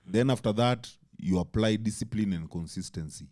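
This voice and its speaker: Animated man with a big, dark voice. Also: lowish voice, moderate pace. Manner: animated, swears freely, slips into Swahili words. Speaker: podcast co-host. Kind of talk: casual podcast chat.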